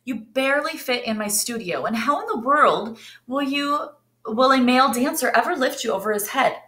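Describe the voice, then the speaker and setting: A woman's voice: sits high, expressive, moderate pace, sharp tone, echoey room, pitched above the performer's own voice. A woman voicing a proper, kindly ballet teacher in a picture book, polite but commanding. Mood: regretful.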